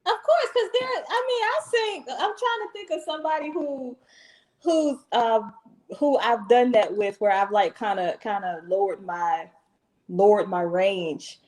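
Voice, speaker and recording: A young woman singer-songwriter from Southern Virginia, chatting casually with a naturally higher voice and easy laughs. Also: measured pace, darkish tone, rough recording. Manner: chatty, laughs easily, restarts mid-sentence. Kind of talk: casual chat.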